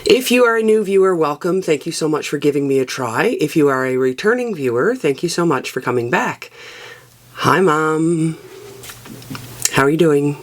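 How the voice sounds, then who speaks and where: Casual knitting vlog host, a woman, raspy and low, rambles along on an external mic. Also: normal speed. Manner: relaxed and rambling, with frequent fillers. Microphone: external microphone.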